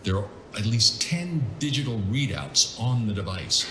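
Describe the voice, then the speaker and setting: A man's voice: medium pitch, very animated, normal speed, bright, forceful tone, roomy sound, a little hiss or hum. A man narrating a dramatised comic script, setting scenes in the present tense. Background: music.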